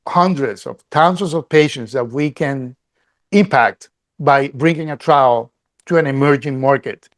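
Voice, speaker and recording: A middle-aged man from Colombia, a company CEO answering interview questions earnestly, often restarting and repeating words. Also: fairly high pitch.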